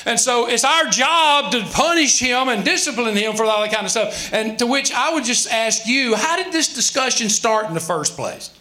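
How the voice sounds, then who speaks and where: A man's voice: extremely high pitch, lively intonation, normal speed, few pauses, bright, forceful tone. A man in his sixties with a Southern American accent, preaching a sermon emphatically, with rhetorical questions and folksy asides. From Southern United States. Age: 60s. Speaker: pastor.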